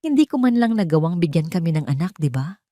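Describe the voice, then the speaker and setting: A woman's voice: on the low side, big pitch swings, fast talker, mellow, no echo, clean studio sound. A woman voicing a hurt, angry young wife who accuses and pleads in a Tagalog audio drama. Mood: quietly hurt. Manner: accusing, pleading rhetorical questions.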